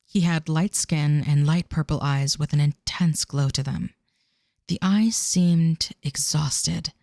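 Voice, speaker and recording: A woman's voice: sits low, creaky, darkish tone, no echo, studio quality. A woman narrating a scripted audio drama as a storyteller.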